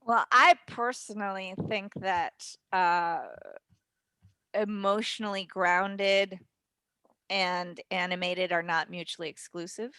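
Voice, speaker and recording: A woman speaking in an animated, emphatic way as a guest acting teacher, answering casually in an interview. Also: medium pitch, takes it slowly, clean tone, dry recording.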